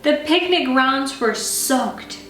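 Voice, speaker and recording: A woman's voice: high voice, expressive, slow pace, fairly bright tone. A woman reading a children's bedtime story as a scripted narration. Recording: roomy, distant mic, faint music.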